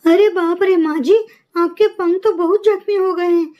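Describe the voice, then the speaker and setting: A woman as high-pitched, dutiful young bird wife. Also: fairly even intonation, moderate pace, muffled recording. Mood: caring, earnest. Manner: polite, earnest, soothing. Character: gentle, dutiful young daughter-in-law bird, caring and studious. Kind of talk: animated moral story acting.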